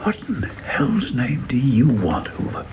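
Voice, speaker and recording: A man's voice an actor's with very varied pitch, set in noisy ambience. He is a principled, troubled cabinet secretary in a radio play, earnest and halting as he objects. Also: sing-song. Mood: uneasy, resistant. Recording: clearly noisy background ambience.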